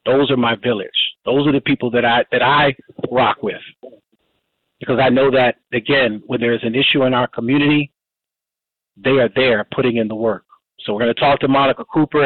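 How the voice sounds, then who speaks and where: A man's voice: mid-range voice, no room sound. A man in his fifties hosting a casual community radio talk show, rambling with many fillers and restarts. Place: Baltimore, Maryland. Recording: dry, phone-like narrow band.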